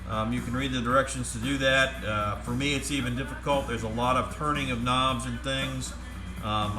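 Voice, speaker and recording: A man giving a casual, step-by-step product demonstration in a halting, plain-spoken way. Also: medium pitch. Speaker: presenter demonstrating converted car radios. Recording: roomy echo, music playing from radio. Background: music from the demonstrated radio.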